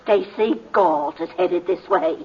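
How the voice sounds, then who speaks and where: An actress playing a fussy, chatty, easily frightened widow who runs a rooming house in an old-time radio western. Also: female voice, lowish voice, slow, slightly rough. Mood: agitated gossip. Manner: folksy, excitable, fussy. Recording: dull, noisy vintage radio recording.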